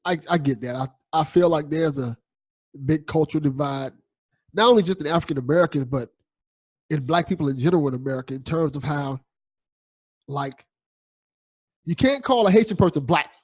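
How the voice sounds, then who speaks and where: A young man hosting a podcast, with a slightly higher voice than most men. He talks in a casual, agreeable and thoughtful way, often circling back and restarting his sentences. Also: lively intonation, a little fast, pauses often, darkish tone. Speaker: podcast host.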